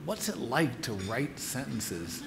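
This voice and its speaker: A man's voice: medium pitch, big pitch swings, slow pace. A man interviewing an author on stage, speaking admiringly and emphatically and repeating words for effect. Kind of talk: literary conversation with quoted passages. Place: live stage event before an audience. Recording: echoey hall with clear background noise.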